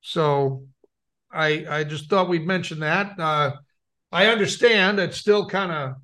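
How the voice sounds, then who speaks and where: A man's voice: a little high, slow pace, pushed and bright. A middle-aged American man co-hosting a podcast in casual chat, with a hesitant, rambling way of talking. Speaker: podcast co-host.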